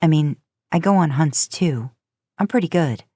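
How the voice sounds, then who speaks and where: A woman's voice: deep voice, expressive, normal speed, dark tone, no echo, clean studio sound. A woman voicing a guarded, awkward teenage boy with a casual, hesitant manner in a story.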